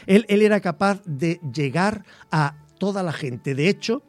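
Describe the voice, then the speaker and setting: A man speaking as a guest about a poet, explaining at length and sometimes reading verse slowly and softly. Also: high-pitched, animated, no room sound, faint music behind. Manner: reads verse slowly and softly, trailing off at line ends; rambling, restarts words while explaining. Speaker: literature guest. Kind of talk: explanatory radio talk with poetry reading.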